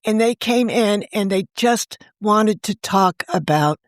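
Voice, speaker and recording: A woman's voice: medium pitch, takes it slowly, no room sound, studio quality. A woman novelist talking as an interview guest, telling stories in a chatty way and laughing often. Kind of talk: casual interview chat.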